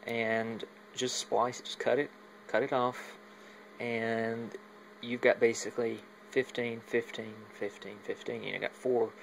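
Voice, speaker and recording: Male hobbyist demonstrator, flat and creaky, halting unscripted delivery, filmed on a phone with faint hum behind. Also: mid-range voice, low-quality recording. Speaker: hobbyist demonstrating a home radio antenna setup. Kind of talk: casual unscripted walkthrough demo. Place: at home, moving between indoors and outside. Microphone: handheld phone while filming.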